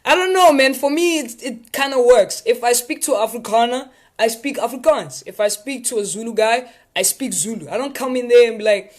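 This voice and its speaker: A man's voice: very high voice, brisk, few pauses. Man, South African accent, live session host, casual, chatty, rambling, playful. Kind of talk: casual live chat with viewers.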